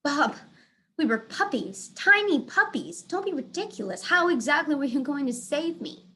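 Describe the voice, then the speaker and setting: A woman's voice: high voice, sing-song, normal speed, bright, forceful tone, voice pushed up, exaggerated voice. Woman voicing a tough, blunt, kind lady dog. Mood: incredulous. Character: Boss, tough, calm, kind female dog. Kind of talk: children's storybook character voice.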